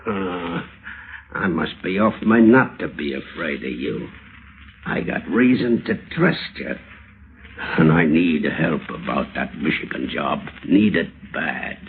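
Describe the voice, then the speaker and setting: A scratchy, tinny vintage radio recording carries a rough crooked drifter. His speech is slangy and uneducated, and his pitch swings widely in the heightened manner of old thriller drama. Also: expressive, slow pace, heavily acted. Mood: nervous, confiding. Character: uneducated crooked drifter, boastful drunk turning terrified.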